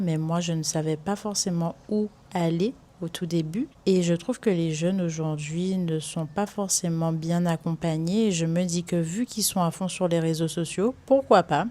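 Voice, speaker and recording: A woman's voice: fairly low pitch, mellow. A young woman from Guadeloupe introducing herself in her first vlog, speaking chatty and enthusiastic with casual fillers. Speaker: YouTuber. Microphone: microphone with camera in front.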